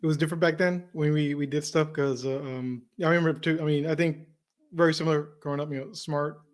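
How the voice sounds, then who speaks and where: A man's voice: fairly high pitch, steady tone, fast talker. A man hosting a podcast interview, chatty and casual, often repeating words as he gets going. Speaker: podcast host.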